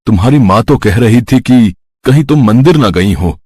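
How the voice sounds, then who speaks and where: A man playing a polite, affectionate middle-aged father with a husky voice in a moral story. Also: mid-range voice, animated, normal speed, darkish tone, dry recording. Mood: kindly greeting.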